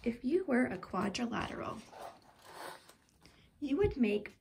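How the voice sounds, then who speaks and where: A woman's voice: fairly high pitch, very animated, a little slow, gravelly, darkish tone, slight background noise. A woman reading a children's picture book aloud like a teacher, enunciating carefully and with expression.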